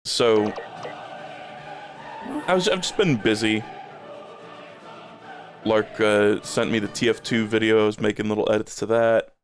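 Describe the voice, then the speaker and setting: A man's voice: fairly low pitch, unhurried, pauses often, soft background music. A man streaming a game, rambling in casual chat with plenty of swearing and fillers, a bit phlegmy in the throat. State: a little phlegmy.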